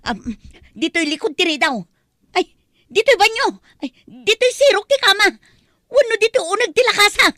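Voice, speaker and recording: A woman's voice: very high-pitched, expressive, moderate pace, bright, forceful tone, dry recording, studio quality, full character voice. A woman acting an obsessed, clingy and jealous girlfriend in an Ilocano comedy drama, with an Ilocano Filipino accent and a whiny, melodramatic manner. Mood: frantic, shouting.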